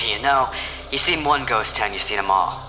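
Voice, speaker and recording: Man playing sardonic private detective, mid-pitched voice, dry, cocky, audio drama, clearly noisy radio-like background. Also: normal speed, close to an everyday voice. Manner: dry, wisecracking. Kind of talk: naturalistic audio drama dialogue.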